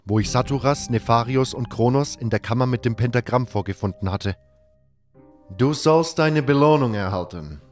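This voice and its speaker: Male narrator, mid-pitched, scripted first-person fantasy storytelling, faint music underneath. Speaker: audiobook narrator.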